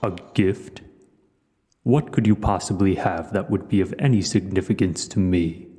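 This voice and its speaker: Huge menacing dragon, played by a man: dark, cavernous reverb, pompous and formal, for audio roleplay. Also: lightly acted. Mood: dismissive. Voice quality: deep, dark voice. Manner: slow, deliberate, formal, pompous. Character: huge male dragon, imperious, menacing, learned, coolly curious. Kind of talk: scripted audio roleplay voice acting.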